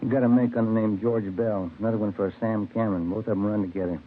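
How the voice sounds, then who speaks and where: A plainspoken American police detective played by a male actor talks in a fairly low, casual, methodical way. The recording is vintage radio drama, thin and phone-like. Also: fairly even intonation, fast, natural voice. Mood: plain, inquiring. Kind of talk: 1950s radio crime drama acting.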